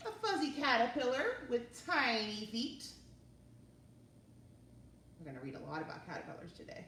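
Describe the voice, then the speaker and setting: Animated woman leading children's story time. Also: fairly high pitch, normal speed. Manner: singsong, encouraging, addressing small children. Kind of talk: children's read-aloud and chat to young viewers. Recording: roomy, distant mic, faint background noise.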